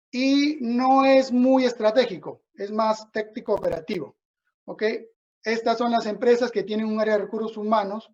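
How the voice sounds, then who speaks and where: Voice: medium pitch, darkish tone. A lecturer with a Peruvian accent giving an explanatory business webinar in a didactic way, often checking understanding with short tag questions. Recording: dull lo-fi webinar audio.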